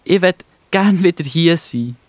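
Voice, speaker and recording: Penniless young son, Swiss German accent, slightly high voice, pleading, dry recording, children's radio play. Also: male voice, expressive, unhurried, no echo. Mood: remorseful, pleading. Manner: despairing, pleading. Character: remorseful, penniless, humble young runaway son.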